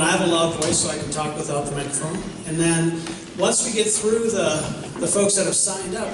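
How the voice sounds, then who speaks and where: Man moderating in a heavily echoing hall. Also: on the high side. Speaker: moderator of question and comment session. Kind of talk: procedural public announcement. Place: public meeting hall indoors. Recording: noisy echoing hall, from a distance.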